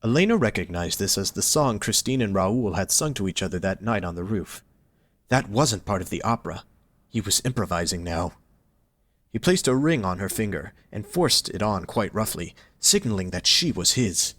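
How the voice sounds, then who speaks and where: A man's voice: lowish voice, animated, moderate pace, a bit bright, no echo. A man reading scripted story narration as the narrator of an audio drama.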